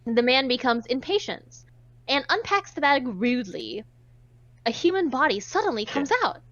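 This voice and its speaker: A woman's voice: sits high, very animated, normal speed, fairly bright tone, dry recording. A woman reading a visual novel aloud with dramatic delivery and sarcastic, irreverent asides, on a slightly dull recording. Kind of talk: dramatic read-aloud with casual joking asides.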